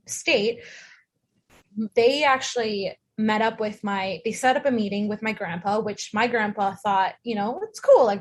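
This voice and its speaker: A woman's voice: mid-range voice, slight background noise. A woman life coach, a guest on the show, telling personal stories candidly and with emphasis. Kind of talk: personal storytelling in interview.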